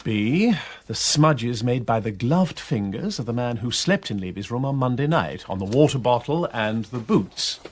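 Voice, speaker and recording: Animated upper-class English gentleman sleuth, husky. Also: mid-range voice. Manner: urbane, courteous, hesitant musing. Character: eager aristocratic gentleman detective, courteous and inquisitive. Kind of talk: British radio drama acting.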